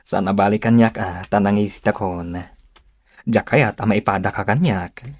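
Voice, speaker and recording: An actor playing a doting, eager young boyfriend, sweet and attentive, in an Ilocano radio drama. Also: male voice, lowish voice, fairly even intonation, moderate pace, close to an everyday voice. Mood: tender.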